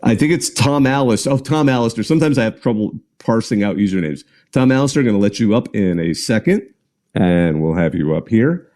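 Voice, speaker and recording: A man's voice: fairly low pitch, expressive, a little fast, a little mellow. A man hosting a live call-in sports show, chatting casually and rambling with restarts and small laughs. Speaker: podcast host.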